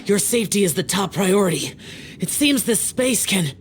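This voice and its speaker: A man's voice: high-pitched, animated, normal speed, noisy background. An actor playing a stern, guarded young male warrior in an anime-style game, with terse, grave commands. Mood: urgent warning.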